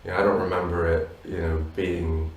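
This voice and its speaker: Brief: man, 30s, deep and even, reflective musician guest, roomy and off-mic. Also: a little fast, slight background noise. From abroad, Polish parents. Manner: reflective, many "you know" fillers. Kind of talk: relaxed interview answers. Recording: roomy echo from a distance.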